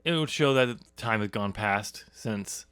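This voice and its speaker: Halting man with a bright, forceful voice. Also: lowish voice, clean tone, no room sound, studio quality. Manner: halting, rambling, self-correcting with stammered restarts. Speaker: podcast host and writer. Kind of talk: casual unscripted discussion.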